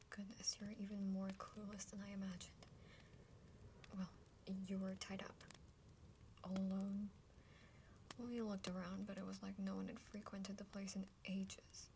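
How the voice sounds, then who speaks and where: A woman voicing a haughty, blunt older half-dragon sister in a breathy whispered ASMR roleplay. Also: mid-range voice, level tone, a little slow, long pauses, a little mellow. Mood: exasperated, reassuring. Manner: condescending, bluntly spoken. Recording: clearly noisy background with clicks.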